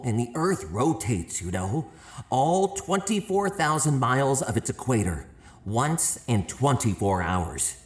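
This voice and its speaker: A kindly old astronomer in an audio drama, explaining with measured care and small stammers. His voice echoes around a big space, with music underneath. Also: a little high. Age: older.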